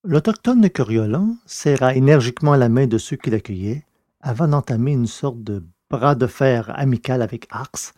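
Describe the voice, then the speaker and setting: A man narrating a scripted story in a measured, literary reading style. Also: medium pitch, lively intonation, mellow, no echo.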